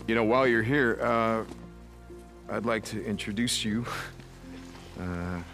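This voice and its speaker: An actor playing a gruff but protective biker drifter in a game, American, speaking in a halting, informal way. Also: male voice, on the low side, fairly bright tone, faint music behind. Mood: awkward, friendly. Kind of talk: video game cutscene acting.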